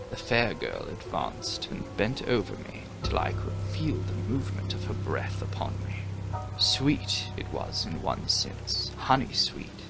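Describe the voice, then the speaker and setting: A man with a fairly low, creaky voice narrates a gothic novel. His reading is theatrical and very varied in melody, dropping into hushed phrases and swelling for emphasis. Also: rough recording. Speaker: audiobook narrator.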